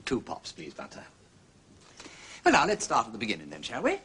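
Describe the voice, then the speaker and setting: Genial gentleman sleuth, upper-class English accent, wildly animated pitch, effusive courtesy, period drama, faint noise behind. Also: a little fast. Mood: breezy, polite. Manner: effusive, urbane, old-fashioned politeness. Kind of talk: period detective TV drama acting.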